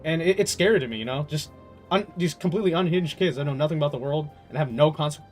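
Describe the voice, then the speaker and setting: Higher-voiced young man, casual streamer. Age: young adult. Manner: rambling, emphatic, profane. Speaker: game streamer. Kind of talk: casual live stream chat.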